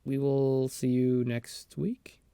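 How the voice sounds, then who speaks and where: A man's voice: medium pitch, flat delivery, slow pace, gravelly, dark tone, smooth, dry recording, studio quality. An American man talking casually and enthusiastically as a chatty podcast co-host. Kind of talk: casual chat.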